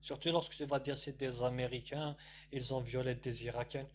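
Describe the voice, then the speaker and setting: A man's voice: medium pitch, steady tone, moderate pace. A man who is a native Arabic speaker, debating casually and often restarting his phrases. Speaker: debate panelist. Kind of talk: casual live debate chat. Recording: noisy mic with a hum.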